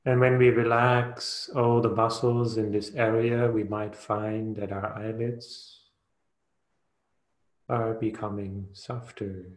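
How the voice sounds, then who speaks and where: A man guides a meditation in an even, very slow delivery. He speaks soothingly in short phrases, leaving long pauses between them. The sound is roomy, with a clear echo around his voice. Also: lowish voice. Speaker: meditation teacher.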